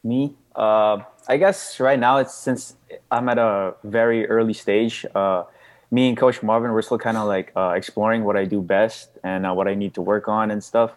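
A young man from the Philippines whose first language is Bisaya, answering interview questions in a soft, hesitant way with many fillers. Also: on the low side, steady tone, normal speed. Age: young adult. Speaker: boxing prospect guest.

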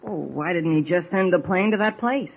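An actor playing an eager, curious young sidekick in a 1940s radio serial, boyish, earnest and exclamatory. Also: male voice, high voice, moderate pace, vocal fry, slightly rough, full character voice. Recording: muffled, noisy old radio recording.

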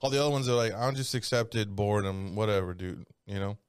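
A man in his thirties in profane casual banter, mocking others by putting on silly high voices. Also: fast talker, clear, resonant tone, dry recording, clean studio sound. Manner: swears freely, acts out others in a high mocking voice.

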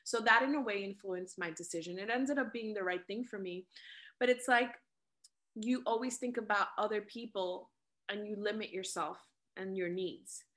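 A woman's voice: medium pitch, moderate pace, fairly bright tone. A woman in her late thirties co-hosting a casual podcast, earnestly summing up and building on her partner's points. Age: late 30s. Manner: earnest, summarizing and building on the other speaker's points. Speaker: podcast co-host. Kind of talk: casual personal chat.

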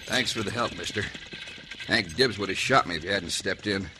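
An actor playing a proud, plain-spoken, stubborn cowboy rancher in an old radio western, with a Western drawl. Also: male voice, low voice, normal speed, natural voice. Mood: frank. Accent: American Western drawl. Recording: old radio recording, faint noise.

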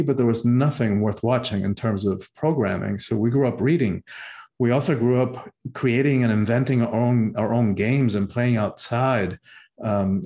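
A middle-aged man from Cuba, a spiritual teacher appearing as an interview guest, telling stories from his own life in a thoughtful way, pausing often and restarting phrases. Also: mid-range voice, darkish tone. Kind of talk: reflective storytelling in an interview.

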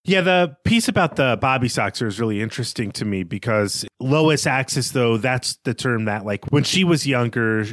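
A man's voice: medium pitch, animated, normal speed, few pauses, a bit bright, no echo, clean studio sound. A man co-hosting a podcast, talking through a book in a conversational, analytical way. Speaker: podcast co-host. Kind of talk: casual literary discussion.

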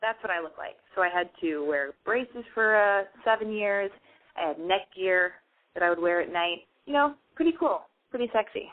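A woman hosts a call-in show, talking with a noticeable lisp. She rambles in an excitable, self-mocking way. Her voice comes through thin and tinny, like it's over a phone line. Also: mid-range voice, normal speed. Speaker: radio show host. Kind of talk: casual call-in show monologue.

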